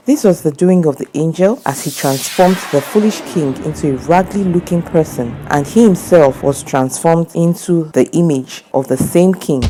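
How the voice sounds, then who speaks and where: Nigerian-accented female folktale narrator, low register, clear music bed. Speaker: storyteller. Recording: prominent background music.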